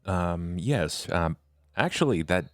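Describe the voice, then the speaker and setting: A man's voice: sits low, lively intonation, slow, faint music behind. A man talking casually as a player in a tabletop roleplaying game.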